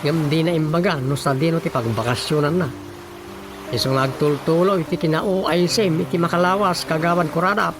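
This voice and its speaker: A man's voice: on the high side, fairly even intonation, fairly quick, noisy background, close to an everyday voice. A man playing a wry village councilman in a comic radio drama, with a teasing, folksy manner. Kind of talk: radio drama comedy acting.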